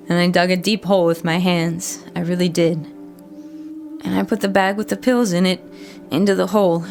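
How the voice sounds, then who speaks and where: Troubled, remorseful woman, horror drama confession: plain-spoken, earnest, lower-pitched, gravelly. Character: troubled, remorseful woman struggling with addiction, pleading to be believed. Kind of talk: first-person horror audio drama monologue.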